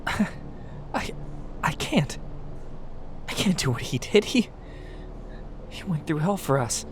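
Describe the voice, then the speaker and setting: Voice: medium pitch, animated, slow, pauses often, a little hiss or hum. An actor playing a shaken, grieving youth in an audio drama, breathless and near tears, speaking in broken phrases. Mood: grieving, doubtful. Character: shaken, grieving youth losing a beloved uncle. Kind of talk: emotional audio drama acting.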